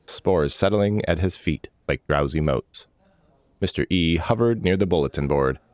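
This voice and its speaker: A man's voice: rumbling low, no room sound. A man reading a scripted fantasy story as its narrator.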